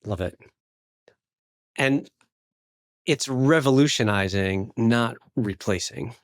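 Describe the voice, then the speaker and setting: A man's voice: medium pitch, slow, no echo, clean studio sound. A man hosting a podcast interview, putting questions to his guest. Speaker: podcast host.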